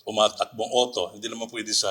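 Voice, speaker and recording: A man's voice: on the low side, level tone, fast, bright, forceful tone. A man with a Filipino accent explaining things casually in an interview, mixing English and Tagalog.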